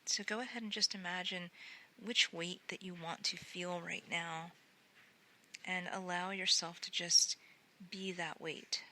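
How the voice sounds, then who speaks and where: A woman guiding a sleep meditation in a gentle, soothing, unhurried way, often softening into breathy near-whispers. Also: lowish voice, fairly even intonation, poor audio. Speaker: meditation guide.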